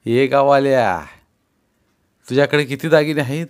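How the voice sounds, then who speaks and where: A man voicing a brash village man who talks loudly and bluntly. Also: medium pitch, measured pace, pitched above the performer's own voice, close to an everyday voice. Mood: bossy. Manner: loud and blunt.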